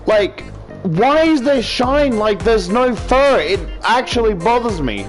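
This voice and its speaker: A man's voice: extremely high pitch, sing-song, slow pace. A man with an Australian accent doing a casual, sweary let's-play, reading visual novel text aloud and commenting as he goes. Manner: sweary, informal. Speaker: video game streamer. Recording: music playing behind the voice.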